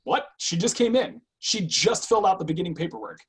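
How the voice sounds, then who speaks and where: Guarded, husky higher-pitched man, acted in a reading. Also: lively intonation, brisk, full character voice. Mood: tense, deferential. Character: guarded, clinical intake agent, weary but obedient man. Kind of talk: online screenplay table read.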